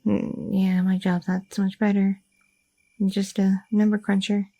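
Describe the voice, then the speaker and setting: Woman acting a mellow, husky goth girlfriend. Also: mid-range voice, flat delivery, unhurried, soft background music. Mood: relaxed, chatty. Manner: casual, rambling, teasing, slangy. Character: chatty, curious young adult goth girlfriend with an office job. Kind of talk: intimate ASMR audio roleplay.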